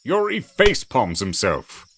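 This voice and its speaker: A man's voice: medium pitch, huge pitch swings, slow, a bit bright, slight background noise. A man reading scripted narration for an audio drama, in a storytelling style.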